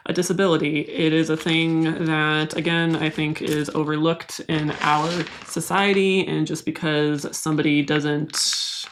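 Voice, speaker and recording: A young woman with a low, soft voice talks to her viewers as she pots plants. Her tone is candid and confiding, unhurried and personal, like a vlog voice-over. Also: level tone, a little hiss or hum. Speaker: plant vlogger. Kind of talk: casual voice-over while repotting plants.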